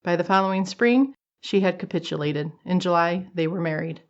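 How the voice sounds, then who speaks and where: A woman's voice: lowish voice, moderate pace, highs cut off, loud music behind the voice. A woman narrating a scripted old-time radio drama.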